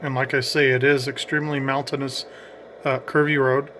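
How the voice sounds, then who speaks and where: Bright-voiced man, even casual commentary. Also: mid-range voice, moderate pace, a little hiss or hum. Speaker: narrator of a CB radio distance test.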